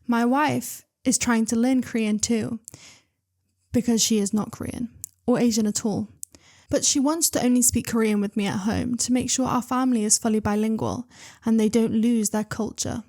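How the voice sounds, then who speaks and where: A young woman hosting her channel, reading online stories aloud with chatty, excitable commentary and asides. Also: a little high, moderate pace. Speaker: reader and channel host.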